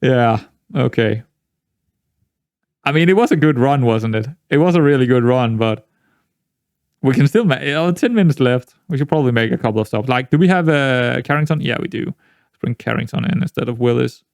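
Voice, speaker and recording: A young man with a Danish accent, a native Danish speaker, chatting casually through a football management game, rambling with many restarts and jumping into excited outbursts. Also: mid-range voice, normal speed, creaky, no room sound, clean studio sound. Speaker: gaming YouTuber.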